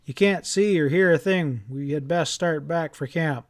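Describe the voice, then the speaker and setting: A man's voice: on the high side, moderate pace, lightly acted. A man voicing a weary, practical country father in an audiobook, calling out as if over a storm. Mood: weary, worried. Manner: raised, shouting over wind.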